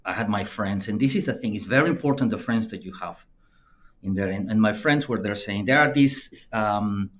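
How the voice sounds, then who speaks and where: Peruvian-accented man telling stories. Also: normal speed. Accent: Peruvian Spanish. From Peru. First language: Spanish. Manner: lively anecdotal telling, acts out quoted friends in a higher voice. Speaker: podcast guest, company CEO. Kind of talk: conversational storytelling interview.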